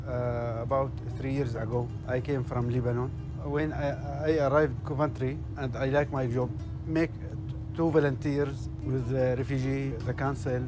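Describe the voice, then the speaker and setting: Halting Syrian man over guitar music. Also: mid-range voice. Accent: Syrian Arabic. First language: Arabic. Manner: halting, limited English. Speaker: restaurant worker. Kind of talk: interview answers.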